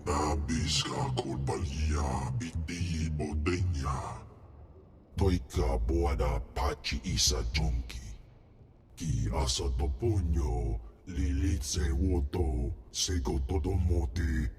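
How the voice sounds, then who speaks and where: An actor playing a huge male alien Hutt crime boss, speaking an invented alien language in a booming guttural, self-important way for a video game. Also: steady tone, takes it slowly, over music. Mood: ponderous.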